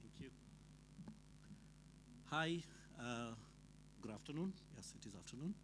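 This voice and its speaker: A man's voice: medium pitch, expressive, a little slow, background noise. A man raised in Dubai, born in Bangladesh, speaking informally and a bit ramblingly as an events-industry speaker. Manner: informal, chatty, a little rambling. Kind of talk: unscripted conference remarks.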